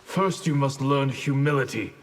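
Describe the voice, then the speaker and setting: A male actor plays an honorable, stern clan mentor from a fighting game. His mid-range voice is formal and measured, with hall reverb around it. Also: mid-range voice, close to an everyday voice. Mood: grave, composed. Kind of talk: video game fight banter.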